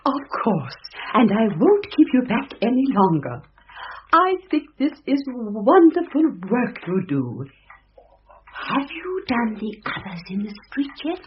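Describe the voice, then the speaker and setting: An actress playing a kindly, genteel elderly spinster in a radio drama, talking in a fluttery, fussing way. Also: female voice, a little high, wildly swooping pitch, a little slow, muffled recording, a little hiss or hum. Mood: chatty. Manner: genteel, fluttery, wide-swinging voice.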